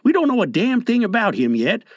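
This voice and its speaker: Man doing old male prospector, folksy, reassuring, high voice, swooping, dry close sound. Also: huge pitch swings, a little fast, no echo, exaggerated voice. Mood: soothing. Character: wise old male prospector, calm and fair. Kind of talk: audiobook character voice.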